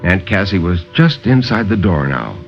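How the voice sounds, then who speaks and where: A man's voice: deep voice, big pitch swings, normal speed, creaky, faint music behind. A man narrating as a storyteller in dramatic 1950s radio horror style. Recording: muffled 1950s radio broadcast.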